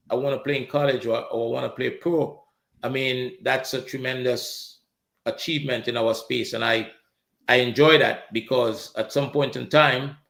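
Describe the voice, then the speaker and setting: A man's voice: mid-range voice, creaky, fairly bright tone. A man who co-founded a youth football club, talking earnestly in an interview, often repeating words as he gets going. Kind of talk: interview answers. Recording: roomy echoey sound.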